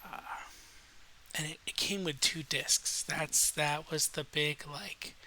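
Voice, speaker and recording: Male host, unhurried and hesitant, slightly rough but very bright voice, winding-down ramble over faint hiss. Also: fairly high pitch, fairly even intonation. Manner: hesitant, winding-down, frequent pauses. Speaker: video host. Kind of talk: relaxed late-night ramble, reading trivia aloud. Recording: noticeable faint background hiss.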